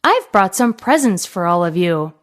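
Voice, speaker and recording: Woman playing a sly, coaxing storybook wolf, very animated. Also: fairly low pitch, moderate pace, voice pushed down. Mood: coaxing, pretending. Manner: coaxing, falsely friendly. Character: big bad male wolf, sly and coaxing.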